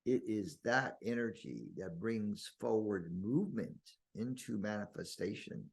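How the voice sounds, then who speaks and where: A man leading a guided meditation in slow, deliberate phrases with long pauses and a husky, gravelly voice. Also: fairly low pitch, lively intonation.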